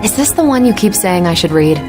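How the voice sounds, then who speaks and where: A woman's voice: medium pitch, very animated, a little fast, over music, lightly acted. An actress playing a confident military superhero woman in a video game, explaining things in an assured, lightly teasing way. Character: confident adult superhero woman, sharp patient military mentor.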